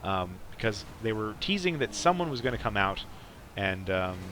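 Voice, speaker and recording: A man's voice: fairly low pitch, very animated, normal speed, a bit bright, a little hiss or hum. A young man giving casual, rambling gameplay commentary as a podcast host, often saying "like". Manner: rambling, peppered with "like". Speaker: podcast host playing a strategy game.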